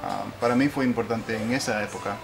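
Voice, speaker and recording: A man from Puerto Rico interviewing conversationally and sharing personal anecdotes, halting and correcting himself as he goes. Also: mid-range voice, steady tone. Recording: noticeable background noise.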